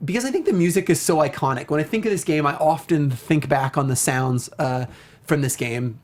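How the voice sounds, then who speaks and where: A man's voice: on the high side, fairly quick. A man hosting a casual discussion show, speaking in an enthusiastic, effusive way. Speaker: talk show host. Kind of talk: casual film and video game discussion.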